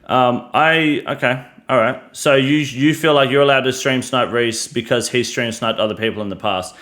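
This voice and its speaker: A man's voice: mid-range voice, moderate pace, a bit bright. An Australian man hosting a casual interview, slightly drunk, hesitant and full of restarts. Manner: hesitant, many restarts and fillers, careful. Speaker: streamer host.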